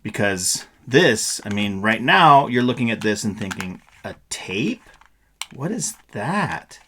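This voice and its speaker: A man's voice: on the low side, very animated, takes it slowly, fairly bright tone, a little hiss or hum. A middle-aged man giving a casual, playful, rambling explanation in a show-and-tell vlog style. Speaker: vlogger.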